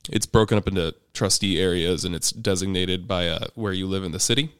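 A man's voice: sits low, steady tone, fairly quick, fairly bright tone, clean tone, no room sound, clean studio sound. A man talking candidly and conversationally as a guest, recounting his own experiences. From United States. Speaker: podcast guest, parent activist.

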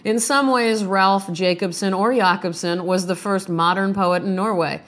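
A woman's voice: mid-range voice, steady tone, normal speed, a bit bright. A woman hosting a podcast, chatting casually and jokingly about herself before reading a poem, her voice off from a sinus infection. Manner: chatty, self-deprecating, joking. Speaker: podcast host reading poems. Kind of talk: casual chat and poetry reading. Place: small home studio.

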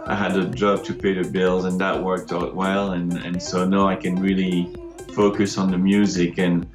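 Chatty Belgian-raised man, low voice. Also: little pitch movement, moderate pace, roomy sound, over music. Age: 40s. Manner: rambling, many restarts, chuckles often. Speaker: DJ and producer guest. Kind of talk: casual interview chat.

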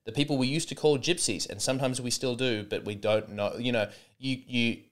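A man's voice: mid-range voice, steady tone, brisk. A young man hosting a solo comedy podcast, rambling and sarcastic with many false starts.

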